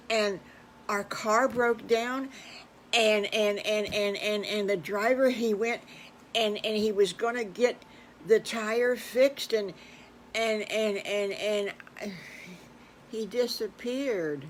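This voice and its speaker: A woman storyteller plays a young lost princess, polite and artless. She speaks slowly and haltingly, repeating small words like a child, with faint noise behind. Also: medium pitch, close to an everyday voice. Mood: lost, worried.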